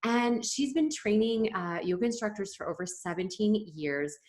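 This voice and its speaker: A woman hosts a relaxed interview podcast with a bright, enthusiastic manner. Her pitch sits in an ordinary middle range, and she laughs easily as she chats. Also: normal speed. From Canada. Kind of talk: casual interview chat. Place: home in a small coastal community.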